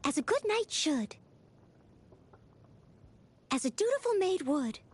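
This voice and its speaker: Young maid knight for an anime game: earnest, polite, dutiful, very high voice, lively swoops. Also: female voice, takes it slowly, heavily acted. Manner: earnest, polite, theatrical delivery. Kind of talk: anime video game character voice lines. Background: music.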